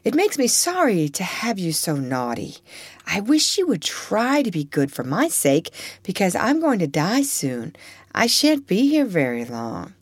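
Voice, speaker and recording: A woman voicing a gentle, frail little girl in a storybook, earnest, loving and sad, pleading tenderly. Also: mid-range voice, wildly swooping pitch, a little slow, breathy edge, dry recording, pitched above the performer's own voice, full character voice. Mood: tender, sorrowful.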